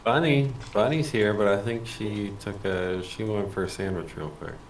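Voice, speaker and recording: Lowish-voiced man joking in an echoey room. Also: moderate pace, a little hiss or hum. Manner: dry teasing jokes, laughs a lot. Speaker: streamer co-host. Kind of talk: casual gaming livestream chat.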